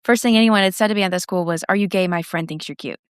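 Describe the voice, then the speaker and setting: A teenage girl, co-hosting a podcast in casual, chatty and loud conversation. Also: mid-range voice, fast, smooth, no echo, studio quality. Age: teens. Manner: chatty and loud, laughs between stories. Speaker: podcast co-host. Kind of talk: casual chat.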